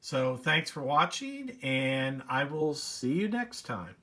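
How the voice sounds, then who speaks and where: A man giving a casual, unhurried, rambling demonstration as a hobbyist presenting a video. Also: on the high side, very animated, bright, forceful tone.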